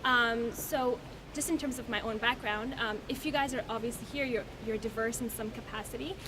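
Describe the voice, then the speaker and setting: A woman's voice: fairly high pitch, moderate pace. A woman law student from Pakistan giving a friendly, chatty speech at a reception, with many fillers. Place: reception event. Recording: noisy event recording.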